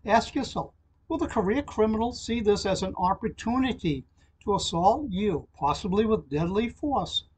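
An older man speaks in a fairly high, husky voice, delivering a prepared alert in a formal, measured way. He slows down to read out numbers and web addresses, recorded at a desk in a small office. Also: darkish tone, low-quality recording. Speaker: channel host giving a legislative alert. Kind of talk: prepared informational address to camera.

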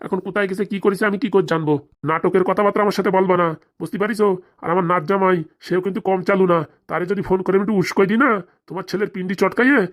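Give me an actor doing an argumentative cartoon villager, blustering at extremely high speed. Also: natural voice. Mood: threatening. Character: quarrelsome village characters, threatening elder and evasive father. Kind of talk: comedy cartoon voice acting.

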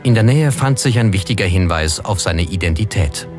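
A man's voice: low voice, expressive, normal speed. A man reading scripted documentary narration. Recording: music under the voice. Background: background music.

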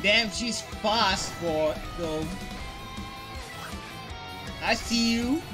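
Young man, reaction host, high voice, very unhurried, slangy, exclamatory, repetitive phrasing. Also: over music. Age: 20s. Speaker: reaction video host, gamer. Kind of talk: casual reaction commentary. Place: small bedroom, indoors.

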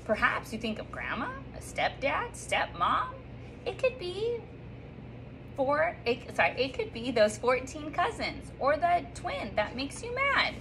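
A woman's voice: high-pitched, unhurried, noisy background. A woman in her thirties, a third grade teacher, recording a cheerful sing-song welcome and picture book read-aloud for her class from a classroom reading corner, filmed from a few feet away. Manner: upbeat and sing-song, reading with lively rises and slips. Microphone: phone or camera on a stand a few feet away.

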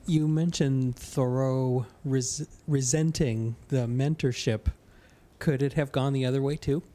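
A woman's voice: extremely low pitch, steady tone, unhurried, warm tone. A woman in an audience asking casual questions of a speaker, recorded in a noisy room.

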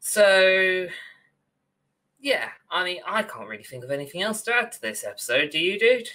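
A man's voice: high-pitched, very animated, normal speed, sharp tone. A young man, co-hosting a podcast in casual discussion over a video call. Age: young adult. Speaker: podcast co-host. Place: indoor room on a video call.

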